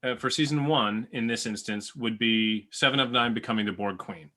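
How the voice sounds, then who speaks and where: Bright-voiced man chatting on a panel. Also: fairly low pitch, moderate pace. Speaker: podcast co-host. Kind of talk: casual panel discussion.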